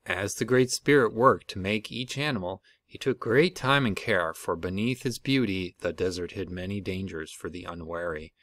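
A man reading a children's picture book aloud as an expressive storyteller. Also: deep voice, moderate pace, hardly any pauses, no room sound, clean studio sound. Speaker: storybook reader.

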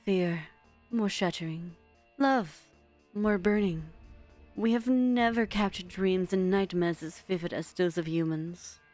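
A woman's voice: on the low side, a little slow, darkish tone, faint music behind, close to an everyday voice. Woman doing a dreamy scorpion-woman seer.

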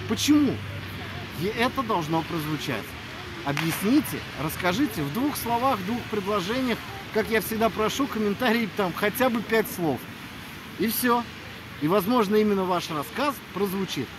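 Man, thirties, high-pitched, earnest video greeting, recorded outdoors with clear city noise. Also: lively intonation, normal speed. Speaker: audiobook narrator addressing listeners. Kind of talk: casual video greeting to followers. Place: outdoors in a snowy city square at night. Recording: noisy outdoor city square, traffic ambience.

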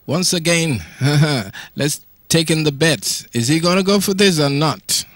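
A man's voice: a little high, lively intonation, a little slow, noisy background. A Nigerian man narrating a hidden-camera show, teasing the viewers with chuckling comic asides. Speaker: show host, voice-over narrator. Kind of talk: comic voice-over commentary.

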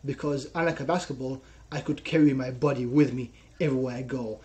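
A young man with an Israeli accent talks through a headset from a small room at home, his voice mellow and on the darker side. He tells his story earnestly, a guest on a casual interview, tagging his sentences with a questioning "right?". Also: medium pitch, fairly even intonation, fairly quick. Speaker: guest, personal trainer.

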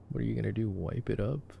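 A man's voice: lowish voice, gravelly, mellow, a little hiss or hum. A young man giving casual ASMR movie commentary in a laid-back, joking, murmured way. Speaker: ASMR boyfriend roleplayer commenting on a film.

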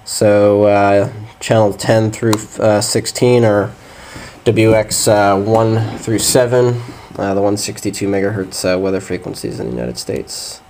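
A man's voice: fairly low pitch, unhurried, a little mellow. A man giving a casual, hesitant hands-on demonstration of radio gear. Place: small indoor room at a desk. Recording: noisy amateur camcorder or phone audio.

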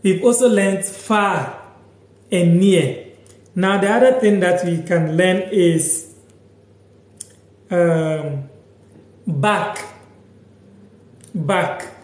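A young man from Ghana teaching a live language lesson on his phone, slowly repeating words and sentences and reading out viewer comments. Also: sits high, echoey room. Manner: slow, repeats each word and sentence several times. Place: small room indoors, sitting on a sofa.

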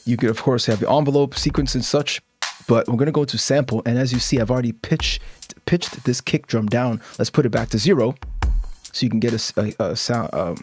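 A man's voice: mid-range voice, a little fast, a little mellow. A middle-aged man hosting a casual music production tutorial, explaining step by step in an informal way and often checking in as he demonstrates. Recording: music and drum samples playing behind. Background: instrumental music and beat loops.

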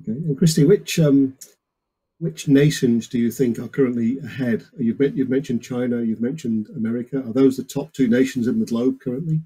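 Older male panel chair. Very mellow, husky tone, moderating questions over a video call. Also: medium pitch, brisk, poor audio. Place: indoor study, on a video call.

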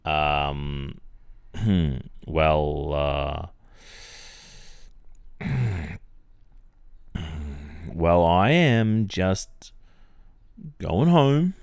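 An Australian man playing an awkward, polite young college football captain in a boyfriend roleplay, speaking in a hesitant, halting way. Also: deep voice, creaky, clean tone, no echo, heavily acted. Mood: shy, hesitant. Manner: hesitant, halting, with many pauses and soft awkward filler. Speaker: ASMR roleplay performer. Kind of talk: ASMR boyfriend roleplay, one-sided improvised-sounding dialogue.

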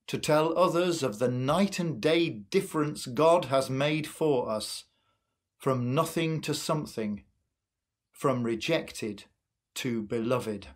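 An older man leading church worship and prayer in a solemn, measured manner. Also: fairly high pitch. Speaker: worship leader. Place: indoor room at home, in front of curtains.

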